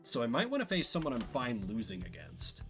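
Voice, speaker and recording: A man's voice: mid-range voice, wildly swooping pitch, brisk, a bit bright, soft background music. A man giving casual game commentary, thinking aloud and reading out story narration as he plays. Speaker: streamer playing and solo-voicing a story game.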